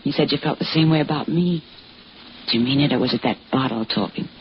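An actress playing a scheming, hard-boiled mistress in an old-time radio crime drama, insistent, domineering and coolly manipulative. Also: female voice, very deep voice, quick pace. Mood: seductive, probing. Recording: dull, noisy vintage radio recording.

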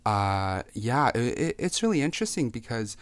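A man's voice: mid-range voice, very animated, moderate pace, no room sound. A man in his twenties, from Taiwan, talking candidly and with self-mocking humor as a podcast guest. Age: 20s. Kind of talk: casual interview chat.

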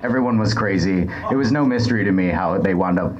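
An American man telling stories on a comedy podcast in a sardonic, halting, casual way. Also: low-pitched, a little mellow, muffled recording. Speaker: podcast guest storyteller. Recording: live crowd in background.

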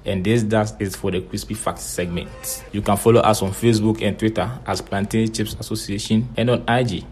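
Deep-voiced Ghanaian man reading facts. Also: steady tone, fairly quick, a little mellow, soft background music. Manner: reads from a script. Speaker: segment presenter. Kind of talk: read-out fact segment.

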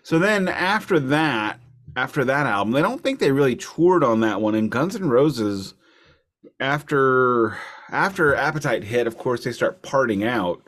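A man's voice: mid-range voice, expressive, unhurried, fairly bright tone. Man chatting casually about music. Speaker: music podcast co-host. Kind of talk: casual album discussion.

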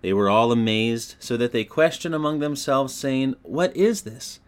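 A man reading scripture as a scripted audiobook narration, with an expressive storytelling delivery. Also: medium pitch, moderate pace, clean tone.

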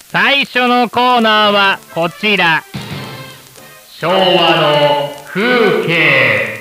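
Man playing a slow, high-pitched grandiose TV announcer. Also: bright, forceful tone, exaggerated voice. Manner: grandiose, emphatic, drawn-out phrasing. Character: rousing male TV host of a Showa-era live special. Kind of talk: formal showy broadcast announcing. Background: background music.

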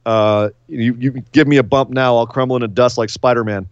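A man's voice: mid-range voice, steady tone, a little fast, clean tone, no echo. Middle-aged male co-host, wry, self-deprecating and chatty; slightly dull, dry podcast mic. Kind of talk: casual wrestling podcast chat. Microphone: podcast mic close to face.

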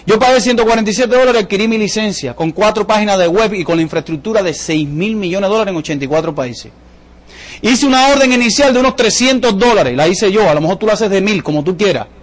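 Man, Cuban accent, high pitch, seminar sales pitch, emphatic, colloquial, repetitive, rhetorical questions. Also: expressive, quick pace. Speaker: business seminar presenter, salesman. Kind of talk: motivational sales talk to an audience.

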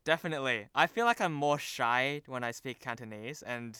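Bright, very clear young man chatting. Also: mid-range voice, normal speed, no echo, clean studio sound. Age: young adult. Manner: informal, self-deprecating. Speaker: podcast guest, TV promo producer. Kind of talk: casual chat. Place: remote video call.

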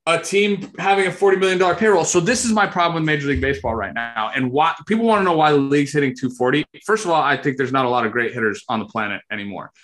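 Higher-voiced man, loose and joking. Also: racing, hardly any pauses, fairly bright tone. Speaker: podcast guest. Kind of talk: casual podcast chat.

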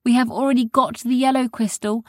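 A woman's voice: a little high, steady tone, brisk, darkish tone, dry recording, clean studio sound, voice pushed up, lightly acted. A woman voicing an earnest, polite young boy on a quest in a storybook.

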